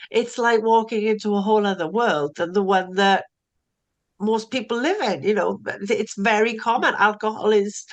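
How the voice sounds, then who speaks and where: A middle-aged woman from South Wales with a Welsh accent, a recovery coach chatting as a podcast guest who often repeats words as she starts a thought. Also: on the high side. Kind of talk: casual interview chat.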